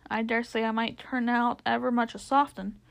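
A woman voicing a humble, honest working-class male cab driver with a Cockney accent, halting, deferential and plain-spoken, in a storybook reading. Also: a little high, flat delivery, gravelly, clear, resonant tone. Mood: humble, earnest.

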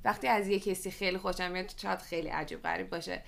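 A woman's voice: on the low side, fairly even intonation, gravelly. A woman in a casual podcast chat, speaking informally and mixing English words into her speech. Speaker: podcast guest or co-host.

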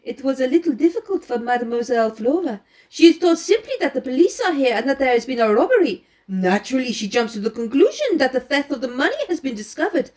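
A woman voicing a calm, knowing male detective with a French accent, speaking in a deliberate, formal storybook manner. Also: high-pitched, pitched above the performer's own voice. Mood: dry, explanatory.

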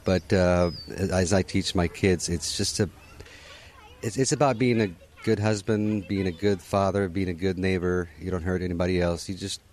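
Deep-voiced easygoing man from Morocco. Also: fairly even intonation, normal speed, a little mellow. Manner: easygoing, sprinkles in "you know" and restarts. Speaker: interviewee at a holiday gathering. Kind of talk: casual conversational answers.

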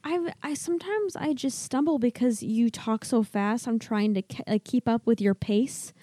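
Teen girl co-host, casual chatter, filler-heavy, a bit high, mellow, dry sound. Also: moderate pace, slightly rough, no echo. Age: teens. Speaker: podcast co-host. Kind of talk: casual family chat.

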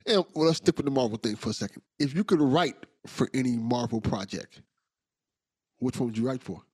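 A man's voice: mid-range voice, brisk, husky, no echo. Enthusiastic man hosting a podcast. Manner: enthusiastic, relaxed, repeats words while thinking. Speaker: podcast host. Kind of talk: casual podcast interview.

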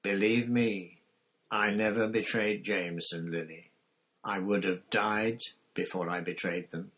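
A gaunt, driven escaped prisoner with a harsh, intense edge, speaking slowly and low. A man gives him voice in a measured storybook reading. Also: steady tone, fairly bright tone, low-quality recording. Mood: pleading.

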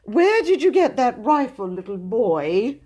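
A woman voicing a timid, pompous storybook gentleman with a high, quavering voice and a hesitant, pleading manner. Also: sing-song, takes it slowly, exaggerated voice. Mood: frightened pleading. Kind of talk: storybook character voice.

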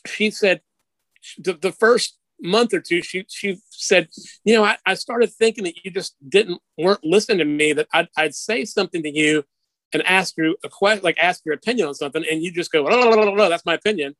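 Male interview guest, middle-aged, slightly high-pitched, dry sound; conversational, candid storyteller. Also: brisk, a bit bright, no echo, rough recording.

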